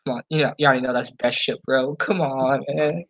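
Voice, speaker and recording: A man's voice: mid-range voice, fairly even intonation, fairly quick, a bit bright, muffled recording. A man co-hosting a podcast, chatting casually as a fan in a slangy, emphatic way. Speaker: podcast co-host.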